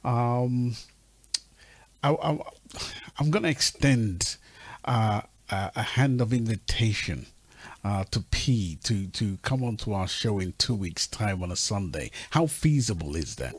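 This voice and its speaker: A man's voice: fairly low pitch, animated, unhurried, slightly rough, no echo. A man hosting a radio talk show over a video call, often restarting and repeating his words. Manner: hesitant, repeats words as he starts.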